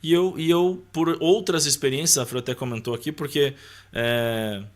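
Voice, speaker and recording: A man's voice: a little high, fairly even intonation, normal speed. A man telling personal stories in a casual, conversational way as a podcast guest, often seeking agreement with little tag questions. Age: adult. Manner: conversational, punctuates with tag questions and quotes remembered dialogue.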